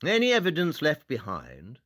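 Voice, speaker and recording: Shrewd, self-assured male detective actor, audio drama; deliberate, theatrical, sharp voice with lively swings. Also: a little high, no room sound, clean studio sound. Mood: pensive, intense.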